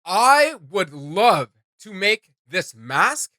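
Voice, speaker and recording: A man's voice: high-pitched, big pitch swings, extremely slow, pushed and bright. A man giving casual, enthusiastic reaction commentary as a co-host, slangy and exclamatory, with music behind him.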